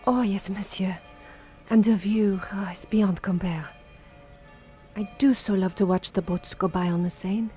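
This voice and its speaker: A woman's voice: mid-range voice, steady tone, unhurried, mellow, natural voice. A woman playing a devoted, deferential French housemaid in an audio drama, polite and attentive. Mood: cheerful, polite. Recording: faint music behind the voice.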